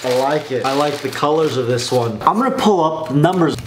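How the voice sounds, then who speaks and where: A man's voice: fairly high pitch, lively intonation, fairly quick, very noisy. A man in his twenties giving a casual product review to camera in a room at home. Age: 20s. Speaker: bowling ball reviewer and vlogger. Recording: roomy echo. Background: music.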